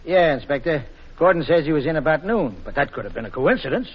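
Eager informal police underling, old radio drama, talks fast, dull fuzzy recording. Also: male voice, on the high side, expressive, slightly rough. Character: eager, informal male police subordinate reporting to inspector. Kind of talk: 1940s radio acting. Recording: muffled, worn 1940s radio recording.

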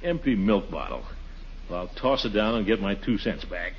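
An actor playing a pompous, excitable middle-aged householder who mutters and exclaims theatrically in a 1940s radio comedy. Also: male voice, on the low side, normal speed, muffled recording. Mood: grumbling. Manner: muttering to himself, theatrical exclamations, chuckling bluster. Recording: noisy, band-limited 1940s radio recording.

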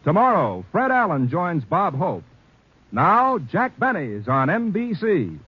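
High-pitched American 1950s radio announcer, male. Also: huge pitch swings, slow pace, very noisy. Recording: muffled 1950s radio recording, music behind.

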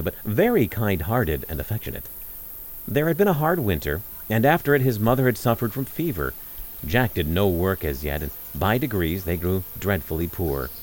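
A man's voice: on the low side, darkish tone, poor audio. A man narrating a scripted fairy tale as a storyteller.

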